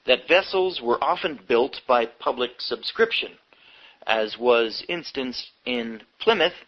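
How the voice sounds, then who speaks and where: A man reading a history book aloud as scripted narration, in measured, short phrases, on a dull lo-fi recording. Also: medium pitch. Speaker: audiobook reader. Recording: dull lo-fi audio.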